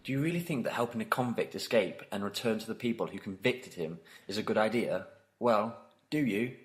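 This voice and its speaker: A man's voice: fairly low pitch, lively intonation, fairly quick, a little hiss or hum, lightly acted. A man playing a protective, impatient time-travelling adventurer in an audio drama, with a commanding, urgent manner. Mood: wary, testy.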